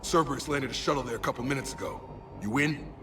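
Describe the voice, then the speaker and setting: A man's voice: mid-range voice, quick pace, over music, lightly acted. An actor playing an injured male soldier who is stubborn and speaks in clipped lines. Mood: determined. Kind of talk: video game voice acting.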